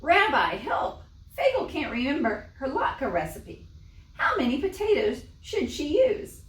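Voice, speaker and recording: A woman's voice: sits high, huge pitch swings, a little slow, a little hiss or hum, pitched above the performer's own voice, exaggerated voice. A woman voicing a simple, earnest village husband, literal-minded and exclamatory, in a children's storybook read-aloud. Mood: urgent. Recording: echoey room, distant mic.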